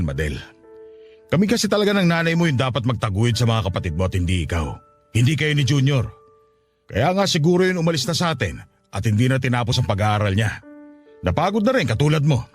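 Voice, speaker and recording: Man doing middle aged dad, gentle n apologetic, humbled, really up and down delivery, filipino radio drama, faint music. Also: medium pitch, big pitch swings, brisk, natural voice. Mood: regretful. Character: humbled, apologetic father of a poor family.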